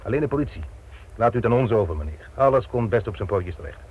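An actor in a Dutch radio drama playing a firm, reassuring police official with a brisk manner. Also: male voice, lowish voice, expressive, slightly rough. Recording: muffled old radio recording, faint noise.

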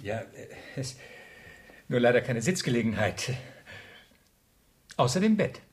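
An actor playing a young, put-upon police constable who narrates a 1970s radio crime drama, wry and husky. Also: male voice, mid-range voice, big pitch swings, unhurried. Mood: flustered.

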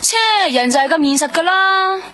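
An actress playing a streetwise, sharp-tongued woman from a karaoke bar in a radio drama. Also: very high voice, moderate pace. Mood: sarcastic. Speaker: witness questioned by police. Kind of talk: Hong Kong radio drama acting.

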